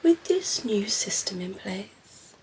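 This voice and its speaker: A woman voicing a cheeky, flirtatious female vampire in an ASMR roleplay, breathy and often whispering, teasing and cooing with condescending affection. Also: medium pitch, big pitch swings, takes it slowly, darkish tone, slight background noise, poor audio. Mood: flirty, doting.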